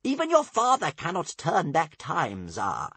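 A man's voice: fairly high pitch, huge pitch swings, measured pace, sharp tone, husky, no echo, voice pushed up, full character voice. Man voicing a grave, wise, scolding old-style advisor. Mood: stern warning. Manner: solemn, admonishing, rhetorical repetition.

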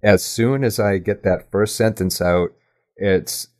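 A man speaking as an interview guest, chatting casually and thinking candidly out loud about his own habits. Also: fairly low pitch, slow pace. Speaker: podcast guest, audio drama writer. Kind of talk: casual, reflective interview chat.

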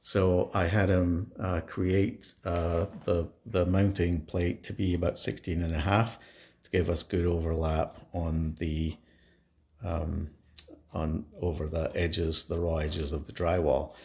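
A man giving a casual how-to narration of a home installation, explaining step by step with halting pauses mid-sentence. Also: low voice, little pitch movement, darkish tone. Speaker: DIY home installer presenting his project. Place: indoors in a home.

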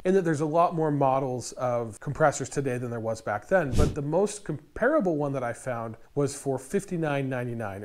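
A man in his thirties hosting a DIY channel, explaining to camera in an animated, emphatic way, recorded on a lapel mic in a store aisle. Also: on the high side. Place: indoors in a store aisle by lumber racks.

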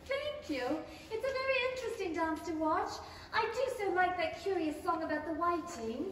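A woman's voice: very high voice, lively intonation, moderate pace, fairly bright tone. A woman playing a polite, curious, opinionated young girl in a 1930s-style stage radio play. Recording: very echoey theatre, distant mic, clear hiss.

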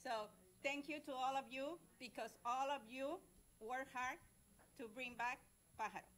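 A woman, a librarian and parent, speaking loudly and emphatically in halting phrases at a public meeting. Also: high-pitched, fairly even intonation. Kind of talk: public comment. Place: large meeting room.

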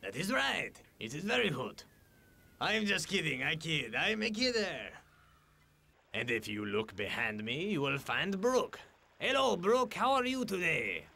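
A man's voice: a little high, sing-song, a little slow, vocal fry, bright, forceful tone, exaggerated voice. A man playing a jovial, chatty talking snowdrift with an exaggerated French accent, theatrical and playful in a comic story. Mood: joking. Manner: theatrical, playful, addresses listener directly.

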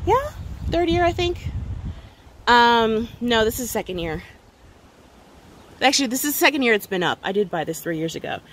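A woman's voice: fairly high pitch, slight background noise. A woman talking casually and informally outdoors, explaining things as she points them out on an unscripted garden tour. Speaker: gardening vlogger giving a tour. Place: outdoors on a home deck.

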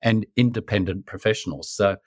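Dry, low-voiced male podcast host. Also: a little fast, no room sound. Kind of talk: scripted intro, then interview chat.

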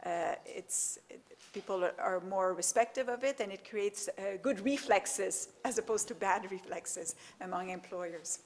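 A woman moderating a panel discussion from a podium in a lecture hall, speaking haltingly with many fillers. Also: mid-range voice.

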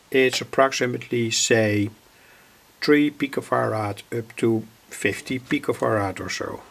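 A man from the Netherlands with a Dutch accent, explaining electronics informally in halting, deliberate phrases. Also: mid-range voice. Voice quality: gravelly, husky. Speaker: electronics hobbyist, vlogger. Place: indoors at a home electronics workbench.

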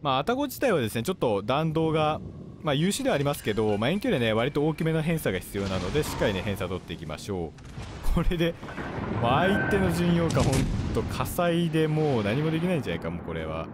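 A man commentating on gameplay as a video host, explaining politely in short, halting phrases. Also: a little high, big pitch swings, background noise. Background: game music and artillery fire.

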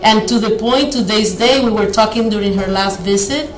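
A woman's voice: mid-range voice, normal speed. Earnest middle-aged woman. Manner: earnest, fillers and small slips. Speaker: clinic doctor. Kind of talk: interview storytelling. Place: clinic office, indoors. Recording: roomy room.

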